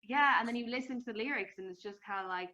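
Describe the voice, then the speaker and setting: A woman's voice: fairly high pitch, quick pace, sharp tone, highs cut off. A woman interviewing a guest in an enthusiastic, chatty way, full of fillers. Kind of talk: casual interview chat.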